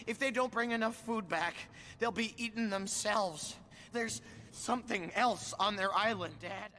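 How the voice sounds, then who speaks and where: A man voicing an awkward, sarcastic, scrawny teenage Viking boy in an animated film, nasal, with a wry, stammering delivery. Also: sits high, a little slow, a little hiss or hum. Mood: pleading.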